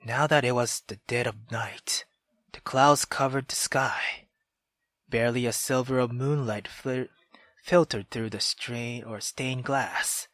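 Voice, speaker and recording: A man reading a visual novel aloud in a slow, steady storytelling manner, now and then correcting himself. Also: medium pitch, dry recording. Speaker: gaming YouTuber. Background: game music.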